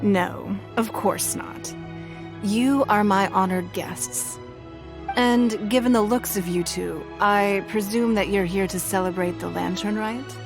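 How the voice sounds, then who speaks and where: Composed noble lady in charge, measured and gracious, game music behind. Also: medium pitch. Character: poised noblewoman ruling a harbor city, commanding. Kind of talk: game cutscene voice acting.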